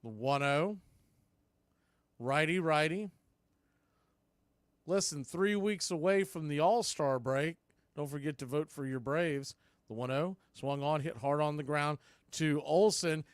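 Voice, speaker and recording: A man's voice: fairly high pitch, smooth, no echo, studio quality. A man doing live radio play-by-play of a baseball game as the announcer, in short clipped phrases. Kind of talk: live radio sports commentary.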